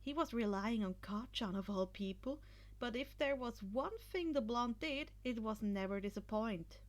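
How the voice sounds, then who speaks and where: A woman reading a fan fiction story aloud as expressive scripted narration. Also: on the high side, moderate pace. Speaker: podfic reader.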